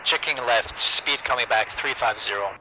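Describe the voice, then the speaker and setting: A man giving clipped military radio calls in a flight simulator, speaking in procedural brevity phrases. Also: fairly quick. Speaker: flight lead in a virtual squadron. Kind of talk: military radio comms in flight sim roleplay. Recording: noisy, muffled radio comms with engine rumble.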